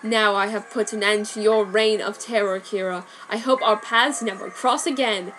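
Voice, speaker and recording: Woman doing a smug, deliberate young detective. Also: mid-range voice, fairly even intonation, background noise, close to an everyday voice. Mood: triumphant. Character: odd young male detective, smug and relentless.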